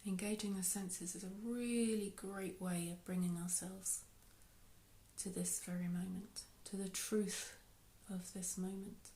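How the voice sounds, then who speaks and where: A woman leading a guided meditation in a soft, unhurried, soothing way, with phrases trailing off into breath. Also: mid-range voice, level tone. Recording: roomy, echoey sound.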